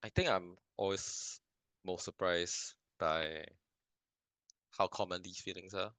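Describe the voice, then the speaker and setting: A man's voice: sits low, fairly even intonation, slow, sharp tone, dry recording. A young man from Singapore, a filmmaker answering interview questions in a hesitant, thoughtful way with many restarts. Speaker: filmmaker guest. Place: lives in Canada.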